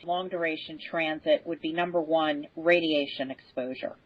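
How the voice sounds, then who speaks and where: Female podcast expert, low-pitched and husky, thinking aloud as she explains; muffled audio. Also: steady tone, normal speed, no echo. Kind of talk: unscripted panel discussion, explanatory. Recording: dull, band-limited audio.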